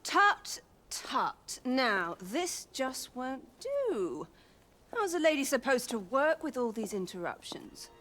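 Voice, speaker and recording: A woman's voice: sits high, very animated, sharp tone, faint music behind, full character voice. An actress playing a poised, secretive noblewoman in a video game, with coy, haughty, formal speech. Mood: teasing, coy. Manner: coy, haughty, measured, archaic formal phrasing. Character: poised, sly, teasing noblewoman, secretive and imperious. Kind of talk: video game cutscene acting.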